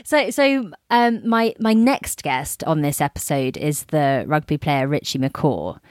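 A woman's voice: low voice, animated, measured pace, no room sound, studio quality. A woman hosting a podcast, asking curious questions with many fillers and restarts. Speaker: podcast host. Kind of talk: casual interview.

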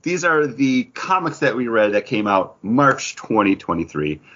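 A man hosting a comics podcast in casual chat, upbeat and quick to joke. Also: medium pitch, big pitch swings, a bit bright. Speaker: podcast host. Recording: dull lo-fi audio.

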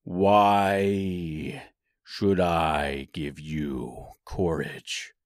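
Dread fiery wizard, deep, extremely slow, commanding, storybook character. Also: male voice, fairly even intonation, no echo, studio quality. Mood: imperious, menacing. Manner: slow, commanding, drawn-out words. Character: great and terrible wizard as ball of fire.

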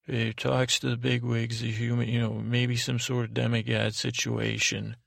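Very creaky-voiced man rambling at bedtime. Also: medium pitch, completely flat delivery, normal speed, fairly bright tone, no echo, clean studio sound. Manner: meandering, hesitant, self-correcting, trailing off. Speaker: podcast host.

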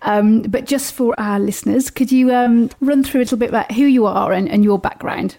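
A woman's voice: fairly high pitch, brisk, warm tone. A woman co-hosting a food podcast, reading an ad and welcoming a guest in a friendly way. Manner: friendly, reads lines smoothly. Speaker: podcast co-host, food blogger.

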